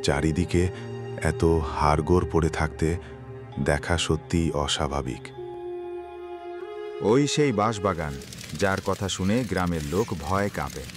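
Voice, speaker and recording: A man playing an uneasy but curious ghost hunter in an animated horror story, given to musing and questions. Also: low-pitched, unhurried, pauses often, music in the background.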